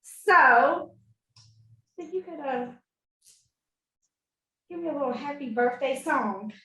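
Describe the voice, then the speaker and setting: A woman's voice: high voice, lively intonation, slow, pushed and bright. A woman in her twenties hosting an interview, friendly and upbeat. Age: 20s. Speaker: interviewer host. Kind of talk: casual interview chat. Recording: echoey room, distant mic.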